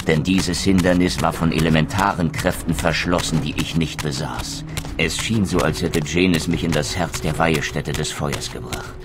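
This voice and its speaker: An actor playing a bitter, solemn undead wraith in a video game, speaking in a grave, formal, theatrical way. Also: male voice, extremely low pitch. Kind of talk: dramatic scripted game dialogue and narration.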